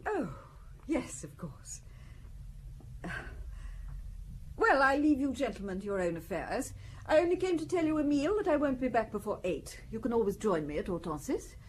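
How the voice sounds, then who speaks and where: A well-to-do society wife with a high voice and lively, lilting intonation. She is haughty yet gracious, speaking in an arch, mannered way suited to an audio drama. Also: normal speed, a little hiss or hum, low-quality recording.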